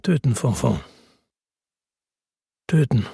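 An actor playing an embittered, defiant noir hero in a German radio drama, speaking in a terse, grim, weary way. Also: male voice, mid-range voice, big pitch swings, takes it slowly, gravelly, mellow, husky, no echo, clean studio sound, full character voice. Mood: guarded, grim. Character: embittered, defiant noir hero telling his own story. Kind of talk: German radio drama acting and first-person narration.

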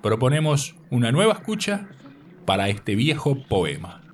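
A man presenting a scripted radio segment in a measured, didactic manner. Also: medium pitch, sing-song. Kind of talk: scripted narration. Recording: distant mic with faint noise.

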